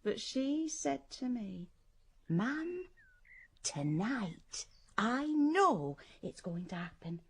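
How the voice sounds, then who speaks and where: Gushing, high-voiced village mum. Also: female voice, sing-song, drawn-out words, husky, exaggerated voice. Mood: dreamy, boastful. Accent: English regional. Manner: chatty, boastful, dreamy. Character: gushing, proud working-class village mother. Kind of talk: British radio drama acting.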